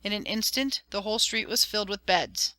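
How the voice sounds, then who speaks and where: Woman reading a fairy tale aloud, crisp bright voice, dry. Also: medium pitch, brisk, no echo. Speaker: narrator. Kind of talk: storybook narration.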